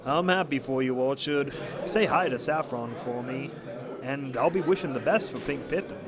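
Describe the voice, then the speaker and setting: A man's voice: mid-range voice, moderate pace, over a noisy crowd, close to an everyday voice. Weary grumbling stallion played by a man, murmur behind. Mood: weary, kindly. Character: weary, grumbling stallion shop worker, kindly underneath. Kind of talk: scripted audio drama, casual dialogue.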